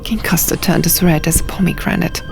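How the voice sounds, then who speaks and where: A woman reading an audiobook in a measured, hushed and dramatic first-person narration. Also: fairly low pitch, fairly even intonation, gravelly. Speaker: audiobook reader. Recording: music behind the voice.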